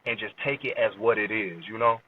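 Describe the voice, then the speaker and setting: A man's voice: fairly low pitch, fairly even intonation, a little fast, husky. An American man in his late twenties talking casually as an interview guest, informal and slangy, often checking that the listener follows. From Chicago, USA. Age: late 20s. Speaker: rap artist, interview guest. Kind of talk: casual podcast interview chat. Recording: dull lo-fi sound, distant from mic.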